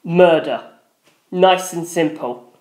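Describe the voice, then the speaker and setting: Higher-voiced man narrating dramatically, roomy sound. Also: slow, darkish tone. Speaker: audiobook-style narrator. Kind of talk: dramatic first-person story narration. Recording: roomy echo.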